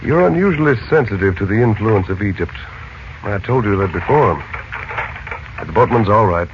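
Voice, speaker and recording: An actor playing a calm, reassuring uncle in a 1940s radio drama, with a measured, unruffled delivery. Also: male voice, on the low side, close to an everyday voice. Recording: muffled, noisy old radio broadcast.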